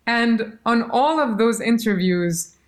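A woman musician being interviewed, telling stories in a lively, casual way and quoting others as she goes. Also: fairly high pitch, slow. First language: German. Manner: animated storytelling, quotes others, laughs. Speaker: musician guest.

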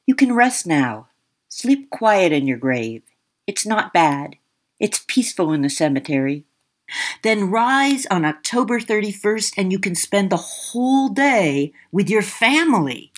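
A woman voicing a gentle, kindly ghost boy who guides the dead, speaking softly and tenderly. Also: medium pitch, very animated, a little slow, voice pushed up. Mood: soothing. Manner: soft, tender, soothing.

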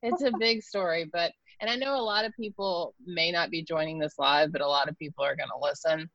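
Gravelly low-voiced woman host. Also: a little fast, no room sound, lo-fi sound. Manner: chatty, laughs often, soft-spoken. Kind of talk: casual chat, opening with a guided meditation.

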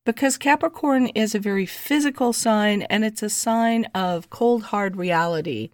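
A woman astrologer co-hosting a podcast, fighting a cold and sounding slightly croaky, explaining in a chatty, easygoing way. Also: mid-range voice, dry recording, clean studio sound. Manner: chatty, explanatory, quick bursts. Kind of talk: casual podcast chat.

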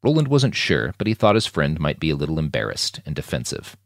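A man with a low voice narrates scripted fiction for a podcast. His intonation rises and falls expressively, and the sound is dry and close. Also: big pitch swings, a little fast, vocal fry, no room sound. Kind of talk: scripted dramatic audiobook narration.

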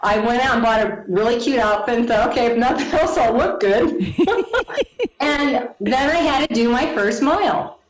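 Animated woman in her forties, echoey room. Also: a little high, normal speed, background noise. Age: 40s. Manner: animated, laughing often, acting out quoted dialogue. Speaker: guest. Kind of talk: storytelling in an interview.

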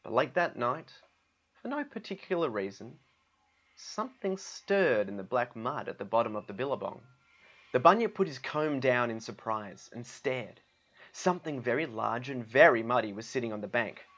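An Australian man narrates a picture book in an easygoing, conversational way. His voice sits at a middle pitch. The recording is a little dull and lo-fi. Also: lively intonation, moderate pace, a bit bright. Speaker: guest storybook reader. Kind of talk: storybook narration with casual asides.

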